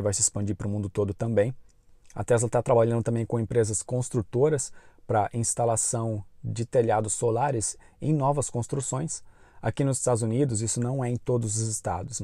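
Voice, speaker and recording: Mellow-voiced Brazilian man explaining news. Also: medium pitch, no echo, studio quality. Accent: Brazilian Portuguese. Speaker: YouTube channel host. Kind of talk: informative news commentary.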